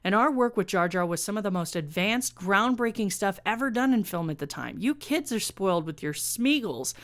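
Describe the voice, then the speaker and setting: A woman's voice: mid-range voice, lively intonation, moderate pace, natural voice. Woman reading as a grumbling older male film director. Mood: aggrieved. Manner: grumbling, self-justifying.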